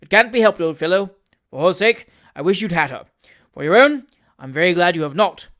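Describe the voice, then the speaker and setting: A reader voicing a jovial, hearty old country gentleman who talks loudly and cheerfully in an audiobook. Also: lively intonation, a little fast, lightly acted. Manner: boisterous, loud, booming cheer. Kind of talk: audiobook character reading.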